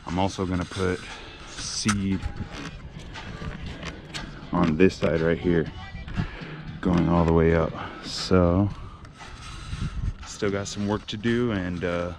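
A young man with a low voice chats easygoingly to his viewers while he works outside on a yard. He is recorded on an action camera in the open air, with music playing clearly underneath. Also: fairly even intonation, takes it slowly, pauses often. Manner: easygoing, talks to viewers as "guys". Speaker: landscaping vlogger. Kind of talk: casual vlog narration. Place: outdoors in a yard, at a nursery. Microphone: action camera on body.

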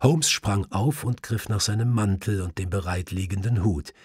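A man reading literary first-person narration for an audiobook in a measured storytelling manner. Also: on the low side, a little mellow, husky, no room sound, studio quality.

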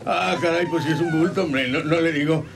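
Casting: gruff, practical country man, Mexican rural accent, gravelly and highish, noisy old radionovela recording. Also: brisk. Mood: exasperated. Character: gruff, practical, scolding ranch man in the wilderness. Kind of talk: radionovela acting.

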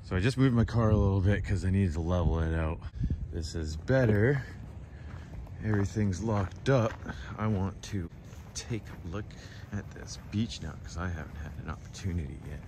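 A man's voice: sits low, normal speed. Laid-back man vlogging from his car. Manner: relaxed, chatty, many fillers like "man" and "like". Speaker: travel vlogger. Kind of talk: casual vlog talk to camera. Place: inside an SUV and outdoors by a coastal beach. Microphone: handheld phone or camera at arm's length.

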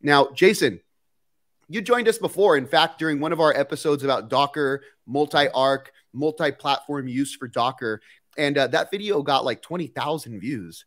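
A man in his thirties hosting a live stream, upbeat and energetic, talking with a stuffy nose from a cold into a studio mic in a home workshop. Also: fairly high pitch, moderate pace. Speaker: host. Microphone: headphones and studio mic on boom.

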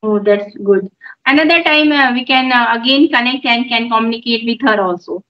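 High-voiced woman on a dull call line. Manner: measured, encouraging, with filler pauses. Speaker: YouTube channel host and mother. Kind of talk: English conversation practice on a video call. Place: room at home. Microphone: phone on a video call.

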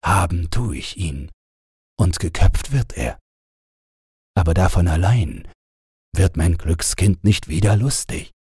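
A stern old fairy-tale king, put on by a male narrator, gruff and commanding. The voice is deep and very husky, recorded close and dry. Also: animated, slow pace, pauses often, darkish tone, no room sound, full character voice. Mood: brooding. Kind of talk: fairy-tale audiobook character voice.

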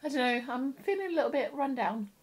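A young woman with a croaky, tired voice, chatting casually to camera in her home studio. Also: high-pitched, lively intonation, fast, a little hiss or hum. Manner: chatty, rambling, with soft laughs. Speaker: illustrator vlogger. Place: home studio room with plants.

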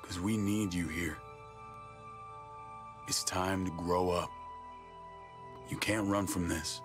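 A man's voice: low-pitched, fairly even intonation, takes it slowly. A man playing a blunt, intense, grieving older brother in a cinematic video game drama. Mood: weary, stern. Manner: blunt, foul-mouthed, intense. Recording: music underneath.

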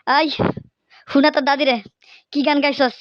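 A woman's voice: high-pitched, steady tone, moderate pace, dry recording, lo-fi sound, natural voice. A woman voicing a hot-tempered, threatening village mother in a comic cartoon, scolding and blustering with a Bangladeshi accent.

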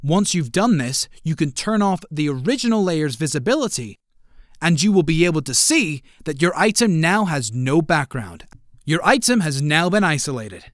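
A man's voice: a little high, sing-song, moderate pace, no echo, clean studio sound. A man hosting a tutorial in scripted narration, explaining in an instructional, step-by-step way. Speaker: tutorial host.

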